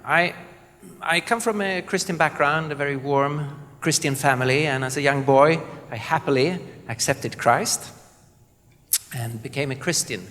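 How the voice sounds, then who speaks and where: A man from southern Sweden with a Swedish accent, introducing himself on a panel in an earnest, halting way with many fillers. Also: mid-range voice, fairly bright tone. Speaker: panelist, apologetics speaker. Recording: reverberant hall.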